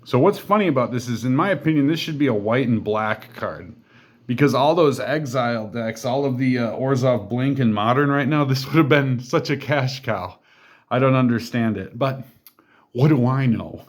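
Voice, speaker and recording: A man's voice: medium pitch, normal speed. A man in a casual deck walkthrough who reads card text aloud, then chats loosely about each card, with fillers and asides along the way. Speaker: card game deck reviewer.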